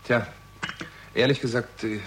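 A man's voice: medium pitch, steady tone, measured pace, a bit bright, slight background noise, close to an everyday voice. A man playing a dry, probing investigator in a German radio crime drama, speaking in a laconic, understated way. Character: dry, probing male theatrical agent turned investigator. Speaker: lead actor and narrator.

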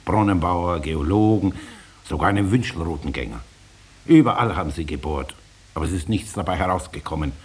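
Very deep-voiced, sheepish farmer. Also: male voice, lively intonation, fairly quick, breathy edge, heavily acted. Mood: sheepish, defensive. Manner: plain, colloquial, evasive. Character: simple male farmer, defensive, sheepish, slyly self-interested. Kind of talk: 1950s German radio play acting. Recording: hissy 1950s radio recording.

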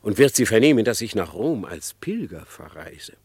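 An actor playing a sly, smug, murderous fox in classic radio drama, with an unctuous, theatrical delivery that savours each phrase. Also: male voice, lowish voice, very animated, normal speed, gravelly, darkish tone, slightly rough, no room sound, heavily acted. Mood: flattering, feigned warmth.

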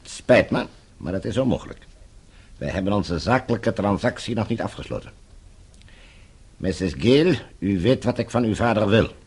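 A blackmailing official in a radio drama. His voice is low and rough-edged, his phrasing courteous and formal, with controlled menace under every line. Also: lively intonation, a little mellow, heavily acted. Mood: coolly polite. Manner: formal, smooth-tongued, measured menace. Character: coldly polite blackmailing villain, a controlling official.

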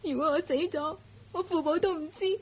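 Despairing young wife, weepy, pleading, high voice, radio play. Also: female voice, moderate pace. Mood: distraught. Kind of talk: Cantonese radio drama acting.

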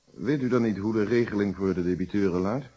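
An actor playing a calm, probing, wry male police inspector in a radio detective play, speaking Netherlands Dutch with polite, measured phrasing. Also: fairly low pitch, husky. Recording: dull, muffled radio drama recording.

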